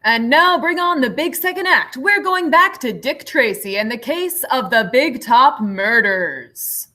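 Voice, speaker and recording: A woman's voice: high voice, animated, measured pace, sharp tone, heavily acted. A woman playing a showy announcer for a 1940s radio play.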